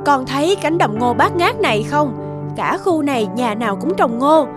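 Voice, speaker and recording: Calm, high-voiced mother bird. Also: moderate pace. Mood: reassuring. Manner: patient, explanatory. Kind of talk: animated folk tale dubbing. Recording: music behind the voice.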